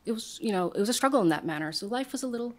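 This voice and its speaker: A woman's voice: a little high, very fast. A woman in her forties, raised in Southern California, telling her story as a guest in an interview. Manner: animated storytelling, voices other people in quotes. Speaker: guest, city office director. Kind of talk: conversational interview.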